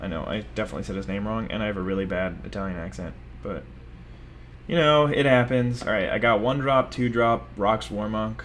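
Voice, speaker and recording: A man's voice: lowish voice, steady tone, a little fast, a bit bright, a little hiss or hum. A young man giving rambling, self-mocking commentary over his own card game, talking casually to viewers. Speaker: gaming video host.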